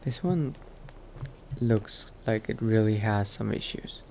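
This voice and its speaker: Man playing a slow, mellow, musing vampire adventurer. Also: fairly low pitch, gravelly, slight background noise. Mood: hesitant, curious. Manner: hesitant, musing to himself. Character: cautious, musing male vampire adventurer at a mage college. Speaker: roleplay let's-play player. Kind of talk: in-character improvised roleplay monologue.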